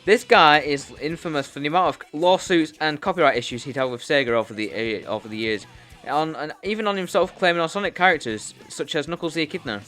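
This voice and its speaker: A man's voice: on the high side, fast talker, fairly bright tone. A man hosting a YouTube commentary video, talking in a blunt, informal, sweary way as he reads a loose script. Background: music.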